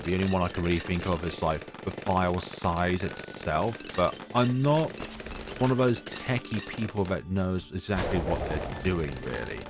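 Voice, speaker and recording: A man with a deep voice giving casual, rambling commentary on his own gameplay, full of fillers. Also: background noise. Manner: rambling, chatty, many fillers. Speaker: gaming YouTuber. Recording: music playing behind voice.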